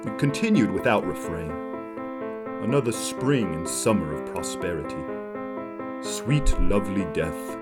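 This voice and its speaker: A man playing a murderous, greedy innkeeper in an audio drama, speaking in a deliberate, theatrical way. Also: low-pitched, animated, loud music behind the voice. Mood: brooding, menacing. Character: murderous male innkeeper, greedy, brooding, cornered by blackmail.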